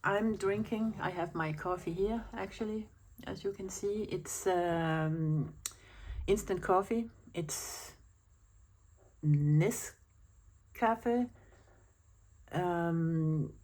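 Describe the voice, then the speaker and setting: A woman from Denmark speaks English with a Danish accent, which is not her first language, in a relaxed chat to camera at home. Her voice sits low and her pace is unhurried, and she rambles a little, restarting phrases and filling pauses as she thinks. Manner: chatty, rambling with many fillers and restarts. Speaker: planner vlogger.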